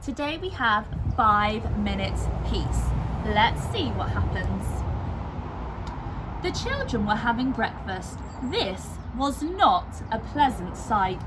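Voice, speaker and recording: A woman narrating a children's story expressively, with deliberate emphasis aimed at young listeners. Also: a little high, big pitch swings, background noise.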